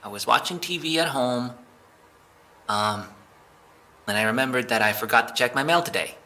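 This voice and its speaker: A man acts out a flustered young male suspect in an audio drama. His voice is very bright and sharp, at a middling pitch. He stammers and rambles defensively as he explains himself under questioning. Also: expressive, moderate pace. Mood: hesitant, explaining.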